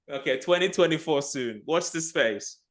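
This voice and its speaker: A man's voice: a little high, animated, slow, bright, forceful tone. A man speaking as a guest coach in an interview, reflective and often restarting his phrases. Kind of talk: casual interview chat.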